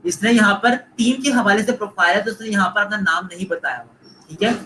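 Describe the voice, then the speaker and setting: A man's voice: high voice, a little fast, sharp tone, a little hiss or hum, rough recording. A man with a Pakistani Urdu accent giving a live online lecture, coaching students and repeating points while mixing in English phrases. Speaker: online course instructor.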